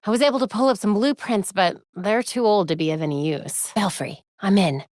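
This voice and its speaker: A woman's voice: mid-range voice, brisk, darkish tone, no room sound, close to an everyday voice. Actress as a young American female crimefighter for a game: focused, wry, tech-savvy, closely recorded and dry. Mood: earnest, focused. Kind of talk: video game voice lines.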